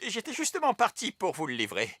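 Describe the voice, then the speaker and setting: A man's voice: high-pitched, wildly swooping pitch, brisk, very noisy. A man voicing a polite, deferential delivery elf in a French-dubbed children's cartoon. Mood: apologetic.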